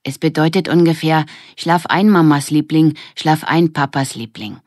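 A woman voicing a gentle young girl in a children's audiobook, soft and tender. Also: low-pitched, normal speed, no echo, studio quality. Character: gentle young girl, sharing grandmother's lullaby.

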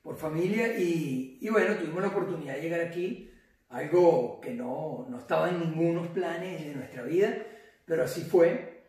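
Higher-voiced Venezuelan man, echoey and distant. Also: normal speed, a little mellow. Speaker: interview guest. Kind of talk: casual chat. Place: indoor living room. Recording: echoey room, distant from mic.

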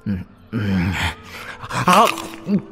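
A man's voice: on the low side, sing-song, bright, forceful tone, faint music behind, full character voice. An actor playing a famished, cheeky young nobleman in an audio drama, grumbling and exclaiming irritably. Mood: eager, exclaiming. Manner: exclamatory, grumbling, drawn-out complaining. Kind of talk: Chinese audio drama acting.

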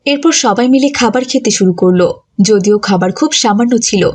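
A woman's voice: medium pitch, normal speed, a little mellow, husky. A woman reading scripted storybook narration for a children's moral cartoon.

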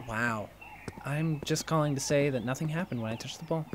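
A man playing an awkward, sheepish young retail worker in an audio drama, with a halting, casual, wry delivery. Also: a little high, slight background noise, natural voice. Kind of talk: naturalistic scripted audio drama dialogue.

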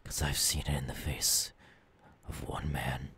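Menacing, tormented ghoul king, ASMR audio drama. Slow, brooding delivery, very breathy, husky, half-whispered. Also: extremely low pitch, flat delivery, bright, forceful tone, exaggerated voice. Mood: tense, weary. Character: tormented, menacing young male ghoul turned self-crowned king. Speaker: voice actor. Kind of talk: ASMR audio roleplay monologue.

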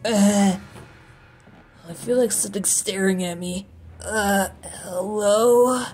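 A woman voicing a small, timid, whiny creature sidekick in an animated fan dub, fretful and pleading. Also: mid-range voice, faint music behind, close to an everyday voice. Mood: fearful. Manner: fretful, drawn-out pleading and yelping.

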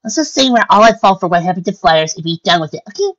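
A woman voicing an excitable, bubbly female party pony with a loud, bouncy delivery for a story reading. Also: medium pitch, quick pace, pitched above the performer's own voice. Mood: exasperated, loud. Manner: exclaiming, bouncy, blunt. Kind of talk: fan fiction story reading. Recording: dull lo-fi recording.

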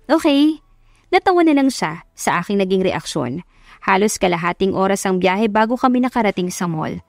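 A woman's voice: medium pitch, big pitch swings, a little fast, no echo, studio quality. A woman reading a scripted first-person love story as an audiobook narrator.

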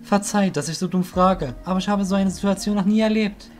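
A man plays a nervous young student in a German audio play. His voice sits high, agitated and questioning, as if the world makes no sense to him. Music plays underneath. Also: a little fast, lightly acted. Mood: anxious, upset. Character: Sekki, anxious, bewildered young male student.

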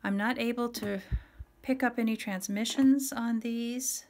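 A woman giving a casual product demonstration in a halting, matter-of-fact way. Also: fairly high pitch, steady tone, darkish tone. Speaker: seller demonstrating a vintage radio.

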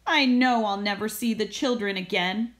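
A woman's voice: mid-range voice, normal speed, a bit bright, natural voice. A woman reading a storybook aloud, voicing a spirited old male school bus with exclamatory lines. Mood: groaning.

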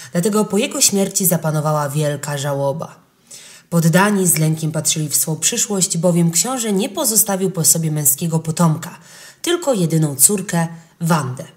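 Female storyteller with a fairly low voice, calm, clear storybook read for kids. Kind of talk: scripted narration of a legend for children.

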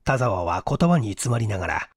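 A man's voice: fairly low pitch, very animated, moderate pace, breathy edge, no echo, clean studio sound. A man reading a mystery story as dramatic, suspenseful, theatrical audiobook narration. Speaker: audiobook reader.

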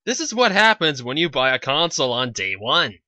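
A man's voice: medium pitch, sing-song, fairly quick, bright, forceful tone, dry recording. A man doing a mocking voice-over commentary, firing skeptical questions at a video he is reacting to. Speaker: commentary host.

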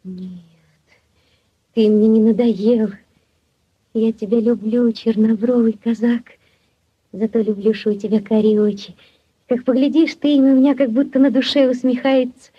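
High-voiced, tender village maiden. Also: female voice, fairly even intonation, moderate pace, long pauses, husky. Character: shy, tender young village maiden in love. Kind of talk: 1940s Soviet radio drama acting. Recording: dull 1940s radio recording.

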